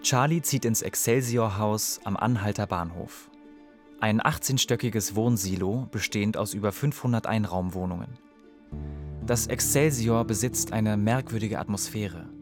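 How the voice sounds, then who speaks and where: Calm low male documentary narrator. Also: normal speed, soft background music. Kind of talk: documentary voice-over.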